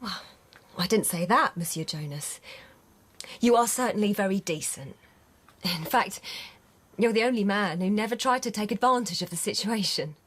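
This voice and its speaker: An actress in a radio drama playing a frank, guarded young housemaid with a blunt, wry, offhand manner. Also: female voice, medium pitch, normal speed, fairly bright tone, breathy edge. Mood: frank, matter-of-fact. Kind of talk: naturalistic radio drama acting.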